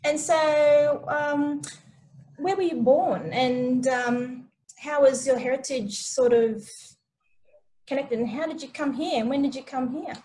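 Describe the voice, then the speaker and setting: A woman's voice: high-pitched, fairly even intonation, slow pace, mellow, slight background noise, poor audio. A woman interviewer asking casual, eager questions in a playful way.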